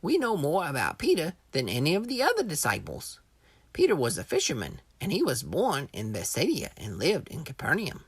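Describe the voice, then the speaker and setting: Low, husky, highly expressive woman narrator. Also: no room sound. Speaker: narrator, Bible teacher. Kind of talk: scripted educational narration for children.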